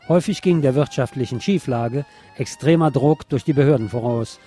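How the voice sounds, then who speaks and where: A man presenting a scripted radio news report. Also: mid-range voice. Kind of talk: scripted news reading, later live eyewitness report.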